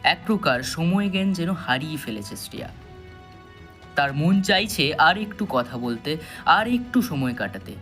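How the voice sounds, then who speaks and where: A man tells a scripted thriller as its narrator. His voice sits a little high, the delivery is dramatic and suspenseful, and music runs behind it. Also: lively intonation, a little slow, sharp tone. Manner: suspenseful storytelling. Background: background music.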